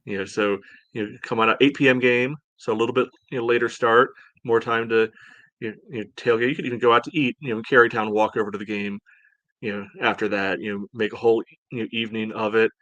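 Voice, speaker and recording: A man's voice: on the low side, steady tone, fast, a bit bright, dry recording, muffled recording. A man co-hosting a sports podcast in informal, casual talk full of verbal padding. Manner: informal, peppered with "you know". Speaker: podcast co-host.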